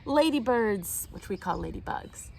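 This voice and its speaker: A woman reading a children's picture book aloud in an expressive, animated storytime style. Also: on the high side, unhurried, creaky, a little hiss or hum. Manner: animated, acts out the characters' lines. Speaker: storytime reader.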